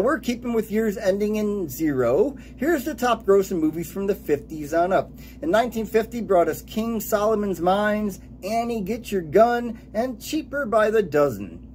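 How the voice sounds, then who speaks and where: A man in his late forties giving an upbeat radio DJ countdown, announcing titles and years in a lively way. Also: high-pitched, a little hiss or hum. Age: late 40s.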